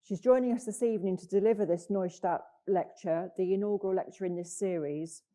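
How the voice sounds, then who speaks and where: Woman, university leader, mellow voice, measured and gracious, introducing a speaker. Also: medium pitch. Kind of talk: formal introduction at a public lecture.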